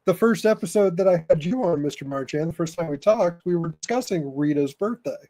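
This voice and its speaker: A man hosting a podcast in casual interview chat, informal and halting as he thinks aloud. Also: fairly high pitch, lively intonation, mellow. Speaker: podcast host.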